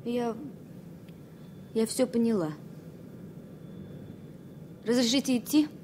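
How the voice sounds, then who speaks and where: An actress playing an anxious, lovestruck young stewardess in a radio play, earnest and halting. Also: female voice, fairly high pitch, mellow, a little hiss or hum. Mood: shaken. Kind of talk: radio play inner monologue. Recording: music bed behind 1970 radio play.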